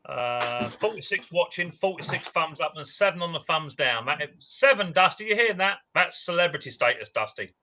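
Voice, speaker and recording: A man's voice: on the high side, lively intonation, moderate pace, few pauses, bright, forceful tone, slight background noise. A man hosting a casual livestream, chatting and teasing his viewers. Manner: chatty, teasing, banter-heavy. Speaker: livestream host.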